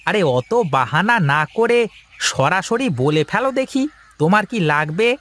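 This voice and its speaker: A man's voice: high voice, big pitch swings, moderate pace, a bit bright. A man voicing an easygoing village husband in a cartoon family story, with an exclamatory, coaxing manner. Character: easygoing village husband who placates his nagging wife.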